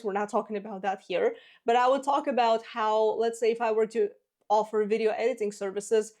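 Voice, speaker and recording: A confident woman in her twenties whose first language is Russian, handing out business advice on her own show. Her voice sits a little high, and she talks in a direct, practical way. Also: darkish tone. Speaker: business podcast host. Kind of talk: solo business advice talk.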